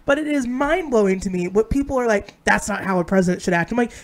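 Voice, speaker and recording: Woman, low husky sick voice, stuffy and hoarse, outspoken ranting co-host, casual podcast chat. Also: expressive, fast talker.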